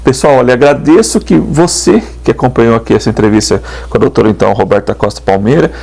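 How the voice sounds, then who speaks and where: A man hosting a news podcast, chatty and lively, closing with a sing-song sign-off. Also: medium pitch, fast, gravelly. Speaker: journalist and podcast host. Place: podcast studio.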